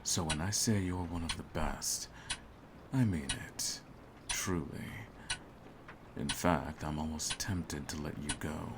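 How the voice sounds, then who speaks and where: Man acting a very deep, gravelly, menacing hitman. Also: noisy background, full character voice. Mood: cold, composed menace. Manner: theatrical, menacing, deliberate phrasing. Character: cold, smug male hitman holding his target at gunpoint. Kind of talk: scripted ASMR audio roleplay.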